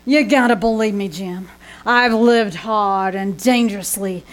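A woman's voice: medium pitch, unhurried, lightly acted. A woman playing a flamboyant, daffy young Hollywood starlet with affected, dramatic delivery in an old-time radio comedy on stage. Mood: melodramatic pleading. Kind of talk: old-time radio comedy acting before a live audience. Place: small-town theater stage. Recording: noisy live theater stage recording.

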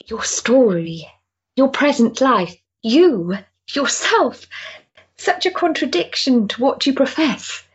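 A woman's voice: high voice, animated, slow pace, breathy edge, muffled recording, full character voice. An actress playing an earnest, impulsive, lonely Victorian woman in a period stage drama, with a hesitant, emotional manner. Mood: nervous, yearning.

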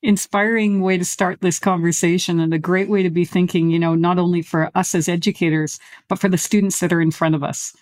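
A woman's voice: lowish voice, fairly even intonation, brisk, hardly any pauses, a little mellow, dry recording, clean studio sound. A woman who is an educator hosts an interview, speaking with enthusiasm and lots of praise for her guest. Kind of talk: interview hosting.

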